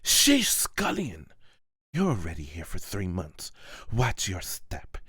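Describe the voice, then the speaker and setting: A man voicing a scolding senior household servant in an amateur manga voice-over, brisk, exasperated and colloquial. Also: low voice, wildly swooping pitch, fairly bright tone, husky, dry recording, clean studio sound, exaggerated voice.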